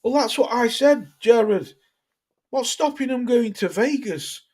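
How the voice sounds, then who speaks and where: Young Cheshire lad, Stoke-tinged accent, blunt and sweary livestream host chatting with viewers. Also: male voice, very high-pitched, lively intonation, unhurried, a little mellow, husky. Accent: Cheshire English mixed with Stoke. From Cheshire, England. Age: young adult. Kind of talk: casual live chat reading viewer comments.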